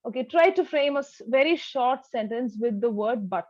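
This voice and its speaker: A woman's voice: fairly high pitch, expressive, normal speed, a little mellow, dry recording, highs cut off. A woman teaching a one-to-one speaking lesson as a tutor, instructive and demonstrating sentences with exaggerated intonation.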